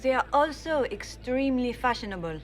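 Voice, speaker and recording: An actress playing a formal female court envoy who speaks with smooth politeness. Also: high-pitched, animated, a little fast. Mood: courtly. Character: prim female court envoys in masks, polished. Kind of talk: video game dialogue. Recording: game audio with music underneath.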